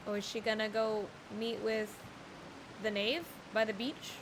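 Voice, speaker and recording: A woman's voice: a little high, level tone, measured pace, background noise. A woman livestreaming a video game, chatting casually to viewers with playful asides. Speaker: game streamer. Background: video game music.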